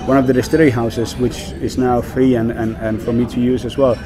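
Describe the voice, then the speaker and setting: A man's voice: medium pitch, level tone, a little fast, music in the background. A Danish man working as a whisky brand ambassador, speaking English in a casual interview, with many fillers and repeated words. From Denmark.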